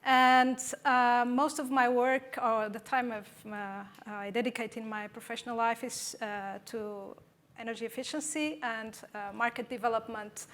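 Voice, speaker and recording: Higher-voiced woman from Portugal. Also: fairly even intonation, normal speed, fairly bright tone. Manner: hesitant, many "uh"s. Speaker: climate agency expert and panelist. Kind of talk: panel introduction.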